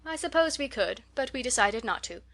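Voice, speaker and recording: A woman's voice: fairly high pitch, animated, a little fast, a bit bright, voice pushed up. Storybook figure: dry, curt spinster farm woman, read by a woman.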